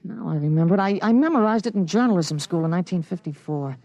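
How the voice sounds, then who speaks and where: A man's voice: high-pitched, animated, quick pace, darkish tone, dry recording, muffled recording. An actor playing a precocious thirteen-year-old boy with an adult's memories, matter-of-fact and articulate, in 1950s radio drama style. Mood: calm, certain. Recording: vintage radio broadcast.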